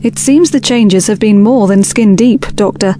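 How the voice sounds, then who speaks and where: A woman playing a brisk, practical young team leader in an audio drama, speaking with blunt authority. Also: medium pitch, dark tone, a little hiss or hum, natural voice. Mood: dry, pointed.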